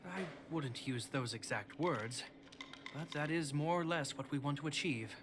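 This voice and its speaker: An actor playing an earnest, dutiful young guard captain in a video game, speaking in a formal, composed, diplomatic way. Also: male voice, fairly high pitch, normal speed, background noise, lightly acted. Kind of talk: scripted video game voice acting.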